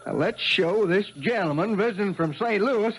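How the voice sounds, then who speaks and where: A man's voice: high-pitched, normal speed, a bit bright, poor audio. An actor playing a sly, self-righteous American country townsman in a 1970s radio drama, speaking in an oratorical way. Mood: righteous, controlling.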